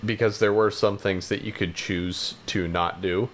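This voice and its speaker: A man's voice: sits low, fairly even intonation, unhurried, a little hiss or hum. A man co-hosting a casual podcast about games and films, with a blunt, informal way of talking. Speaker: podcast co-host.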